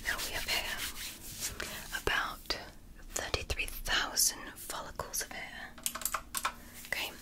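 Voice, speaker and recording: A woman whispering as a gentle alien woman doctor in an ASMR roleplay, softly praising and reassuring her patient. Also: close to an everyday voice. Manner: soft, slow, praising and reassuring.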